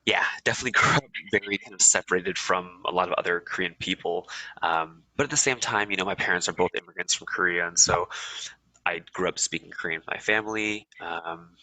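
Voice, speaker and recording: Low, bright-voiced young American man. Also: a little fast, no room sound, poor audio. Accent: Californian American. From USA. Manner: relaxed, rambling, many ums and restarts. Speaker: guest. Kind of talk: casual interview chat.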